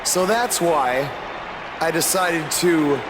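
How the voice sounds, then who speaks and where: Slow, defiant wrestling champion. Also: male voice, on the high side. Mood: smug defiance. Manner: deliberate, challenging. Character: defiant underdog male pro wrestling champion seeking revenge. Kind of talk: wrestling promo speech. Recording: loud arena crowd and noise.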